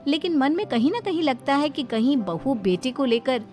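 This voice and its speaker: A woman's voice: high voice, expressive, normal speed, a little mellow, faint music behind. A woman storyteller delivering scripted narration for a cartoon family moral tale.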